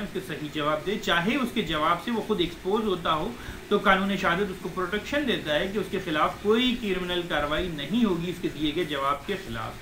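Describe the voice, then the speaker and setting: High, bright-voiced man lecturing. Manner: didactic, repeats points, mixes in English legal terms. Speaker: advocate giving law lessons. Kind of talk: explanatory law lecture in plain terms. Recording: echoey room with faint noise.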